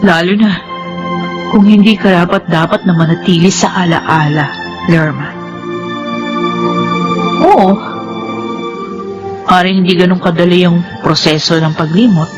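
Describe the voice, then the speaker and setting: A woman's voice: lowish voice, steady tone, unhurried, pauses often, dull audio, natural voice. An actress playing an earnest, principled young woman in a radio drama, with a Filipino accent. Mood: reflective. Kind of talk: radio drama acting and narration. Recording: dramatic music under the voice.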